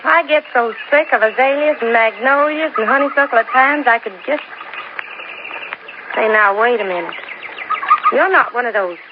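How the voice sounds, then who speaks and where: An actress playing a young Southern belle who works as a polite garden guide in a 1950s radio drama. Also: female voice, on the high side, moderate pace, pauses often, close to an everyday voice. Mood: weary, candid. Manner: flowery recited patter, polite. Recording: muffled, noisy old radio recording.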